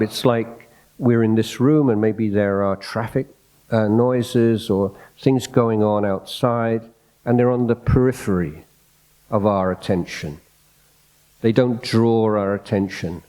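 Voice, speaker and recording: A soft-spoken man, a Buddhist monk, giving an unscripted dhamma talk in a hall, reflective and hesitant, restarting his phrases often. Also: on the low side. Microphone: microphone in a hall.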